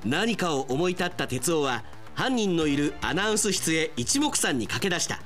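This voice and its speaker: A man's voice: fairly high pitch, big pitch swings, normal speed, fairly bright tone, faint music behind. A man reading dramatic scripted narration for a Japanese radio drama.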